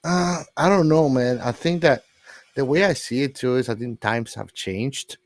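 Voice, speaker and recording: A man talks casually in a mid-pitched voice, recorded dry and close. He sounds like a podcast co-host in an informal film chat, blunt and slangy in how he puts things. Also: moderate pace, dry recording. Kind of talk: casual movie discussion.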